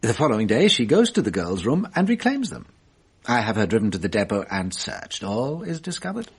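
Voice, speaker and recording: An actor playing a shrewd, self-assured French detective in a radio drama, musing deliberately with wry amusement. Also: male voice, fairly low pitch, big pitch swings, husky, full character voice. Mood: musing, animated.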